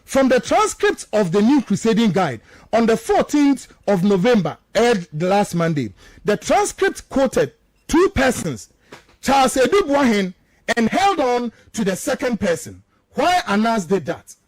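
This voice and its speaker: A man's voice: very high-pitched, very animated, measured pace. A man with a Ghanaian accent giving impassioned political commentary as a radio host, emphatic and repetitive, firing off rhetorical questions. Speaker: radio talk show host.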